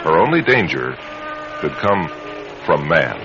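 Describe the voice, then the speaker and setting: Very deep male suspense narrator over music. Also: sing-song. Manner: deliberate, dramatic storytelling. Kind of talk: suspense radio drama narration. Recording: muffled old radio broadcast. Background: loud dramatic music.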